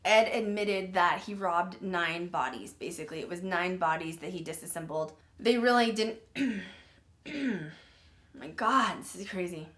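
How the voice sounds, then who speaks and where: A woman with a somewhat low voice, talking casually to her viewers as a podcast host. She rambles and fills her talk with "like" and "um", sounding uneasy about the dark subject. She keeps clearing her throat and says she is losing her voice. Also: unhurried. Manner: rambling, filler-heavy, with many "like" and "um". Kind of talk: casual true-crime retelling to viewers.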